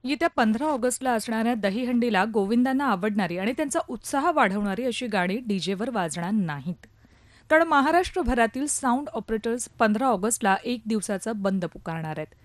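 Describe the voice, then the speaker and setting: A woman's voice: a little high, no room sound. A woman reading a scripted news report as a reporter.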